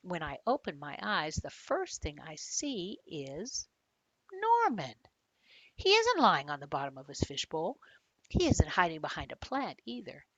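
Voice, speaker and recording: Woman children's author, storybook read-aloud with chatty asides, lively pitch, dry close sound. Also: dry recording, poor audio. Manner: expressive, dramatic pauses, questioning listeners. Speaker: children's author reading own book aloud.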